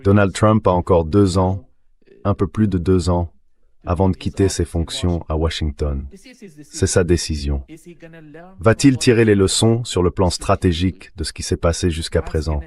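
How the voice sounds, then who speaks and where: A man reading a translated voice-over of political analysis in a measured, explanatory way. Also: low voice, darkish tone, lightly acted.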